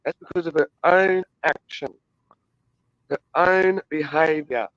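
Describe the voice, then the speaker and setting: A fairly high-voiced man vents at length, indignant and quick to swear. His audio is muffled and lo-fi, with a close, dry sound and no room around it. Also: fairly bright tone, dry recording. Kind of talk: heated casual chat.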